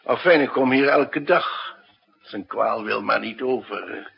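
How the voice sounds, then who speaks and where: Husky, urbane doctor. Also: male voice, medium pitch, takes it slowly, heavily acted. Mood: resigned, confiding. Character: genial, inquisitive male family doctor on house calls. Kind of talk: 1960s radio drama acting. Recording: muffled, dull 1960s radio recording.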